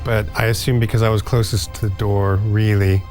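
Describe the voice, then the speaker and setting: A man's voice: fairly low pitch, level tone, fairly quick, darkish tone. A man chatting casually as a player during a tabletop roleplaying game. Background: music.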